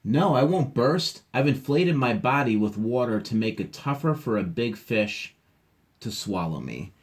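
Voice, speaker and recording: A man's voice: mid-range voice, sing-song, measured pace. A man voicing a reassuring pufferfish from a children's picture book.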